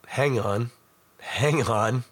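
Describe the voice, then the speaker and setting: A middle-aged Canadian man, hoarse and raspy from a cold, hosting a casual podcast and rambling in a self-mocking way to viewers. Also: mid-range voice, very slow, a bit bright. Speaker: podcast host. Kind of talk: casual live-stream chat.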